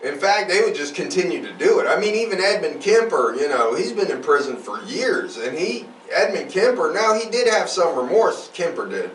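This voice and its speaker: High-pitched, animated man podcasting. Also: normal speed, hardly any pauses. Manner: emphatic, profane. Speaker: true crime podcast host. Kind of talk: casual conversational storytelling. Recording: roomy, distant mic, faint noise.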